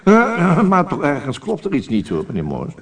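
A sleepy, scatterbrained sheriff in a 1980s radio comedy. He rambles and repeats himself, with a highish, very animated voice heard slightly away from the mic. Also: brisk, husky, heavily acted. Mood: muddled, chatty. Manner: rambling, repetitive, stammering. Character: muddled, sleepy small-town sheriff, male. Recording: distant-sounding vintage radio play recording.

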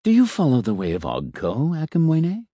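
A man voicing a polite, complacent talking elevator that muses like a philosopher, speaking softly and dryly. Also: a little high, wildly swooping pitch, moderate pace, no echo, clean studio sound, pitched above the performer's own voice. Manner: polite, complacent, quietly musing.

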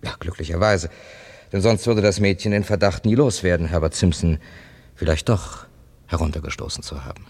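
Radio crime play: composed writer-narrator, 36, low voice, measured and reassuring. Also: male voice, vocal fry, a little mellow, breathy edge, exaggerated voice. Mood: sober reporting. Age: 30s. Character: composed, discreet male writer, thirty-six, narrator and confidant. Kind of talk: 1970s German radio crime drama acting.

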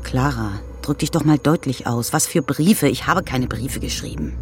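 A woman's voice: a bass voice, lively intonation, normal speed, a little mellow, soft background music. An actress playing an anxious, disbelieving woman in a radio crime drama, earnest and questioning. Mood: defensive. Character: anxious, disbelieving woman, devoted to her friend.

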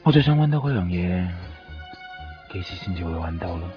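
Deep-voiced, self-assured finder. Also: male voice, animated, fairly quick. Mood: reflective. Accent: Hong Kong Cantonese. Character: cocky, self-assured male finder of lost things. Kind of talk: 1990s radio drama acting and narration. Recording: dull lo-fi radio recording, music behind.

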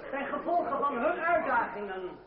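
A man's voice: extremely high pitch, big pitch swings, unhurried, full character voice. Radio play: defiant male deputy, formal oratory, distant and muffled in an echoing chamber. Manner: rhetorical, sardonic, formal. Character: defiant, eloquent young revolutionary deputy addressing an assembly. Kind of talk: old radio drama oratory. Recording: dull old radio recording, echoey hall, crowd. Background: noisy assembly crowd.

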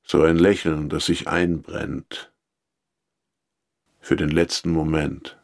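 A man narrating a literary radio drama as a brooding, fatalistic noir storyteller, in measured fragments. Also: rumbling low, level tone, gravelly, dry recording, studio quality, exaggerated voice. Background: music.

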